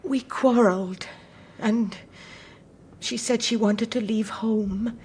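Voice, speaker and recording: A woman's voice: a little high, steady tone, slow pace, darkish tone, slight background noise. An actress playing an anguished, devout old mother in a period audio drama, haunted by her own curse and given to whispers and cries. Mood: sorrowful.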